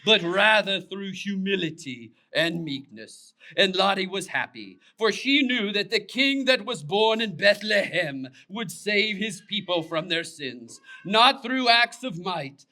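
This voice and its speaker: A man narrating a scripted children's puppet show story in an expressive, deliberate way. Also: high voice.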